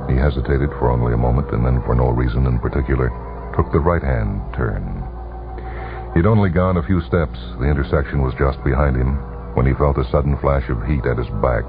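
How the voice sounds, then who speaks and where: A man reading a short story for radio in a measured, deliberate, suspenseful way. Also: rumbling low. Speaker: narrator. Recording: tinny, band-limited vintage radio broadcast. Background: music under the voice.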